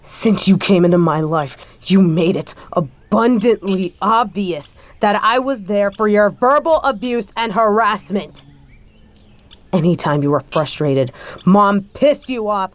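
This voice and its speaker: A woman performs a bitter adult daughter in a scripted audio drama. Her voice is high for a woman. She speaks in a scathing, confrontational way and swears freely as she takes her neglectful stepfather to task. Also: no echo. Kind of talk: scripted audio roleplay monologue.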